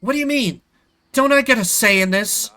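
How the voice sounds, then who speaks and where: A man's voice: very high-pitched, normal speed, sharp tone, faint music behind, pitched above the performer's own voice. A man voicing an angry, petulant teenage princess who speaks in an indignant, accusing manner. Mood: hurt, indignant.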